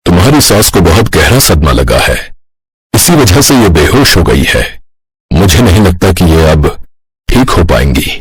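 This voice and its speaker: A man plays a village healer in a cartoon folk tale. His voice is low and husky, recorded dry, and he admonishes with grave authority. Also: sing-song, measured pace, no echo, exaggerated voice. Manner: solemn, admonishing. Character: stern, crafty village healer, solemn and authoritative. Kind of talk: Hindi animated moral-story acting.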